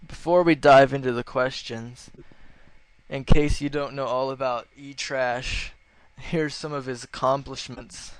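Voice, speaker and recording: A man playing an earnest, easily flustered gaming-site interviewer in a parody interview, with deliberate announcing and slangy gamer talk. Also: medium pitch, clean tone, no room sound, close to an everyday voice, low-quality recording.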